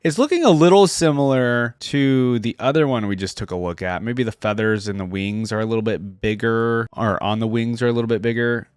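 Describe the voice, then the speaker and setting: A man with a medium-pitched voice hosts an informal reaction video. He talks casually and thinks aloud as he comments. The sound is dry, with very little room in it. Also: fairly quick, hardly any pauses, clean tone, no room sound. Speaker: YouTube reaction host.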